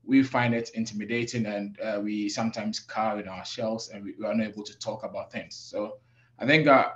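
A man from Africa who works as a safety professional, speaking on a webinar panel in a measured, reflective way with many pauses. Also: lowish voice, fairly even intonation, a bit bright, lo-fi sound. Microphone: video call.

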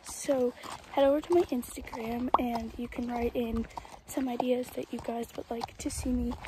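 A teenage girl vlogging casually about her horse at a barn, talking into a phone camera she holds close, chatty with fillers and quick asides. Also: fairly high pitch, steady tone, noisy background. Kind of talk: casual vlog narration. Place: horse barn and outdoor riding arena. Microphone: phone camera held close.

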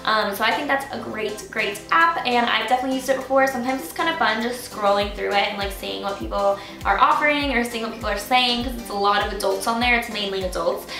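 A young American woman with a high, bright voice talks casually to her vlog audience. She is chatty and youthful, sprinkling in filler words like "kinda". The sound is a little roomy, as in a bedroom. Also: fast talker, hardly any pauses. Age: early 20s. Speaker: lifestyle vlogger. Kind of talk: casual scripted-free vlog chat to camera. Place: small furnished bedroom, indoors. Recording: roomy bedroom echo, faint music. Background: faint music.

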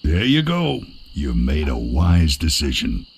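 A shady street peddler in an adventure game. His voice is extremely low and creaky, lively in pitch, gruff and furtive, with crickets chirping behind. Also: slow, a little hiss or hum, exaggerated voice. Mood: sly, curt. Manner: furtive, curt, insulting. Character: shady, gruff male back-alley map peddler. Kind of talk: cartoonish game character acting.

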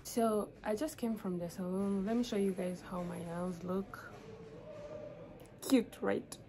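Slow-paced young Rwandan woman vlogger, roomy sound. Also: medium pitch, fairly even intonation, over music. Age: young adult. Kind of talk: casual vlog chat to camera. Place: small indoor rooms, speaking to camera. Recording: roomy echo, faint background noise.

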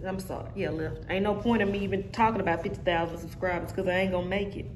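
A woman's voice: lowish voice, steady tone, fast, roomy sound, a little hiss or hum. A woman in her thirties reviewing a wig in a casual vlog, talking straight to her viewers in a sassy, joking way while seated indoors. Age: 30s. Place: indoor room with brick wall.

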